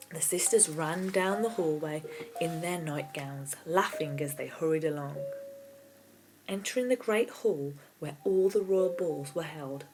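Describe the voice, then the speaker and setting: A woman with a fairly low voice reads a children's story aloud. It is storybook narration, with clearly audible keyboard music playing behind her. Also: rough recording. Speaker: audiobook reader.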